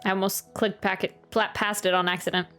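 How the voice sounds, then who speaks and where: A woman's voice: mid-range voice, level tone, a little fast, pushed and bright, soft background music. A woman giving casual, chatty reaction commentary as a game streamer, with rambling, jokey asides.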